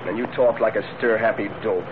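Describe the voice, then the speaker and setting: An actor playing a pushy, smooth-talking gang leader in a 1940s radio drama, slangy and needling. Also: male voice, lowish voice, fairly even intonation, fairly quick, close to an everyday voice. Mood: threatening, bossy. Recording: tinny, noisy old radio recording.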